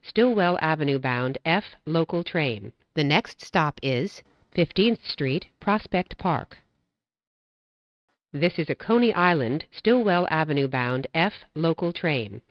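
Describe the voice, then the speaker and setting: Low-voiced woman making train announcements. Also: no echo, highs cut off. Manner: measured, formulaic delivery. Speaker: transit announcer. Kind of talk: scripted recorded train announcements.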